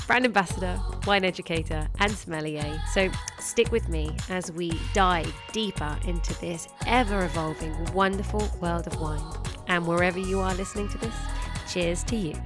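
A woman hosting a wine podcast, reading her intro with lively enthusiasm, then interviewing her guest. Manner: enthusiastic, expressive delivery with swooping pitch. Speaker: podcast host.

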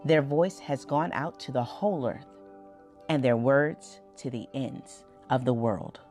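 Low-pitched woman slowly reading scripture. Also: darkish tone, soft background music. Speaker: Bible reader on a podcast.

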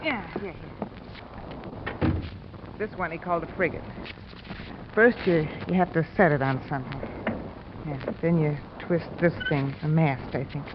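An actress with an American accent playing a tough, defensive wife, plain-spoken and insistent, in vintage radio drama style. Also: female voice, low voice, measured pace, long pauses. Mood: confiding. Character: tough, defensive working-class wife shielding her man. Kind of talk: 1940s-50s radio drama acting. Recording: noisy, muffled old radio recording.

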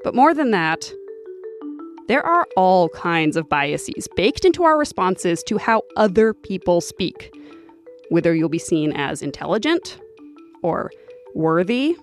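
A woman's voice: fairly low pitch, very animated, measured pace, soft background music. A woman hosting a podcast, telling stories in conversational, scripted narration. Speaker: podcast host.

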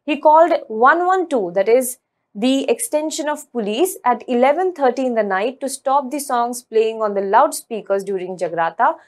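A woman presenting a scripted news report in an earnest, measured way. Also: fairly high pitch.

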